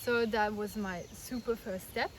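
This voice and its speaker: A woman in her thirties from Belgium, raised in France, calmly explaining her views in an interview, earnest and step by step with frequent restarts. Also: mid-range voice, measured pace. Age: 30s. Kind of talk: casual explanatory interview answers. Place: outdoors in India. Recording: outdoor recording with clear background noise.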